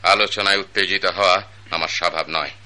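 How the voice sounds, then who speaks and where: An older, pompous patriarch who lectures his family with moral indignation, voiced by a man in a radio play. His voice sits low but comes across very bright and forceful. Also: steady tone, brisk. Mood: scolding. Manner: lecturing, self-righteous. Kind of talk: radio drama acting.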